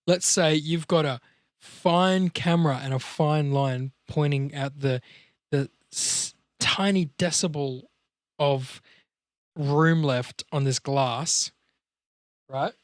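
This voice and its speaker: A man with a fairly high voice talks casually on a podcast, recorded close and dry. He riffs with animation and swears freely, in the manner of an actor among friends. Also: takes it slowly, no echo. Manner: animated riffing with swearing and bits of mimicry.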